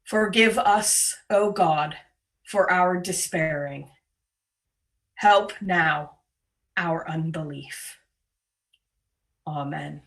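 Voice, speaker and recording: A woman speaks from some distance off the microphone, delivering solemn scripted prayer and reflection. Her voice sits a little low and moves extremely slowly, each short phrase given grave weight. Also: a bit bright. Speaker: worship leader. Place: online video call.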